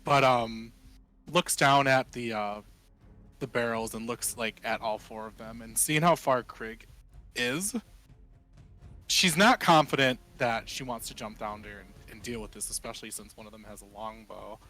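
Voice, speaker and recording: A man's voice: medium pitch, moderate pace, bright, forceful tone, faint music behind. A man playing a tabletop roleplaying game, casually describing what his character does.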